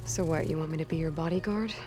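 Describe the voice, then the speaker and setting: Woman playing self-assured young warrior in a game, kinda low voice, blunt n guarded, music underneath. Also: fast talker, natural voice. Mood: wary, challenging. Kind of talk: naturalistic game cutscene acting. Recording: background music behind the voice.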